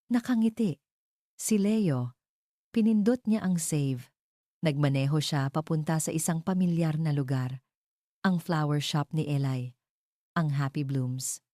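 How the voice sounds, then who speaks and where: A woman's voice: low-pitched, mellow, no room sound, clean studio sound. A woman narrating a scripted love story in a dramatic storytelling style.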